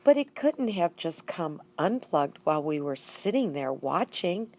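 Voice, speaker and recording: A woman's voice: sits low, sing-song, unhurried, darkish tone, heavily acted. A woman voicing a kindly, folksy husband and father in a children's story, with emphatic phrasing. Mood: puzzled.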